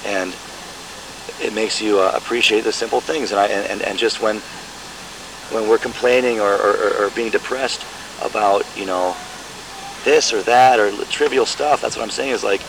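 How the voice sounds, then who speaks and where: A man from Michigan, a musician, answering interview questions in a reflective, halting way with many restarts. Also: lowish voice, steady tone, vocal fry, noisy background.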